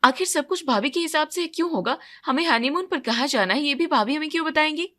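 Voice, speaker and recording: A woman's voice: high voice, lively intonation, fast talker, fairly bright tone, voice pushed up. A woman voicing a resentful, headstrong young bride, indignant and complaining, in an audio story. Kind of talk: acted dialogue in a Hindi audio story.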